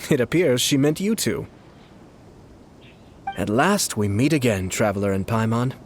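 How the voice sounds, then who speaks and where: A man voicing a courteous, composed young noble lord in a video game, speaking in a formal, measured, polite manner. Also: medium pitch, big pitch swings, slight background noise. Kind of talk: video game character acting.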